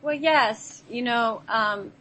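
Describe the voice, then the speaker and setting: A woman's voice: a little high, slow, pushed and bright, rough recording. A woman speaking as a radio show guest, explaining things in an earnest, hesitant, conversational way. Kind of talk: casual conversational interview.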